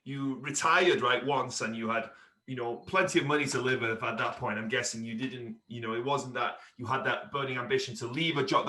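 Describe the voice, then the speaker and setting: A man's voice: mid-range voice, fairly bright tone, echoey room. A man hosting a podcast who asks long, affirming questions and often repeats his words. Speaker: podcast host. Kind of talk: interview questions.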